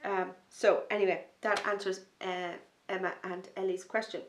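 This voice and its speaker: A woman hosting a knitting podcast, chatting in a rambling, enthusiastic way, a little sniffly from a starting cold, sometimes dropping to a soft near-whisper. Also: medium pitch, level tone, normal speed, reverberant, a little hiss or hum. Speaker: knitting podcast host and designer. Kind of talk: casual chat to camera.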